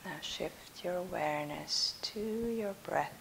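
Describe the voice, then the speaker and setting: A woman leading a guided relaxation meditation as a yoga instructor, soothing and soft, breathy at phrase ends, with long pauses between phrases. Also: on the low side, poor audio. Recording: steady background hiss.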